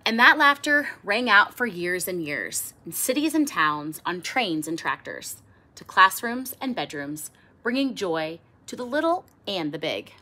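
A middle-aged woman reading a children's picture book aloud expressively, with theatrical emphasis and drawn-out words. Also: mid-range voice, sing-song, pushed and bright. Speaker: storybook reader. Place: small indoor room with bookshelves.

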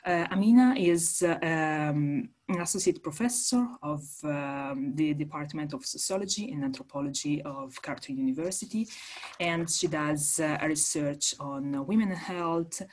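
A woman's voice: sits low, moderate pace, darkish tone. A woman hosting a video-call workshop, introducing a speaker hesitantly with many fillers.

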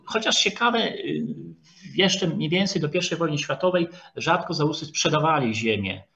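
A man giving an online lecture with slides, speaking haltingly with frequent drawn-out filler sounds. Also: on the high side, animated, creaky, bright, forceful tone. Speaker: lecturer, historian. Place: online video call. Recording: dull, lo-fi video call audio.

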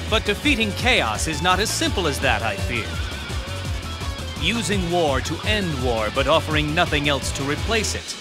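A man voicing a thoughtful, measured strategist in a game cutscene, speaking calmly. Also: fairly high pitch, over loud music. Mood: reflective.

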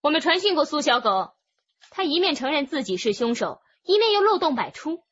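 A woman's voice: high voice, very animated, a bit bright, lo-fi sound, a little hiss or hum. An actress playing a composed, methodical policewoman with an authoritative delivery in a radio drama. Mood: probing. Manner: measured, authoritative. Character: composed, methodical female police officer presenting evidence.

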